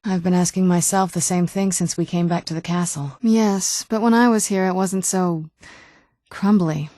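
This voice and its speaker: A woman's voice: lowish voice, steady tone, normal speed, mellow, dry recording, clean studio sound, lightly acted. A young woman playing an ancient but youthful vampire noblewoman in a video game, speaking in a wry, understated, conversational way. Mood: wry, matter-of-fact. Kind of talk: video game character dialogue.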